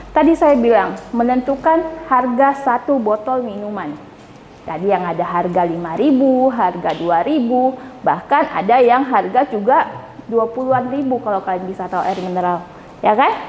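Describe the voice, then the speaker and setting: A woman's voice: fairly high pitch, darkish tone, slight background noise. A woman lecturing a class in a chatty way, asking her students questions and checking for agreement. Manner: chatty, often asks "ya kan?" for agreement. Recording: echoey classroom with long reverb.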